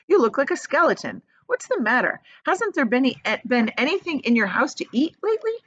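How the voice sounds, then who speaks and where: A woman's voice: high-pitched, very animated, brisk, a bit bright, lo-fi sound, pitched above the performer's own voice. A woman voicing an exuberant, eccentric male factory owner in a children's storybook, bossy and delighted, with showy, urging exclamations. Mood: excited, concerned. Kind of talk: children's storybook read-aloud.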